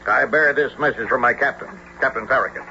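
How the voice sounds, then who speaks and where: A brisk navy lieutenant, noisy recording. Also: male voice, mid-range voice, pushed and bright. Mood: dutiful. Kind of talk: radio drama acting. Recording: dull, clearly noisy radio recording.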